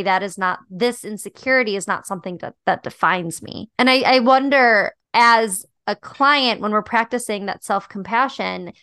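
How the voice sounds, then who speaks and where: A young adult woman co-hosting a podcast, chatting in a thoughtful, reflective way with frequent fillers, with a voice she calls high-pitched. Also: bright, forceful tone, clean tone, no room sound, studio quality. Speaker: podcast co-host. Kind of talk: casual chat about a dating show.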